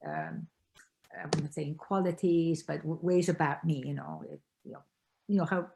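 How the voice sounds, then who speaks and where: An older woman from Belgium, an author being interviewed, telling her story in a reflective, halting way with many restarts. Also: lowish voice.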